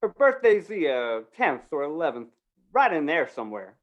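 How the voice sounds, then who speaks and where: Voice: mid-range voice, big pitch swings, normal speed, natural voice. An actor playing a gossipy small-town undertaker and justice in an old-time western radio drama, with drawling rural talk. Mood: relishing the tale.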